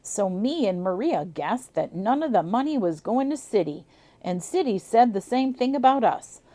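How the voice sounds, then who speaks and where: A woman's voice: on the high side, very animated, normal speed, dark tone, no echo. A woman voicing a grumbling old rural man with a put-on Southern twang, telling a family story in a folksy drawl. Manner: folksy drawl, dropped endings.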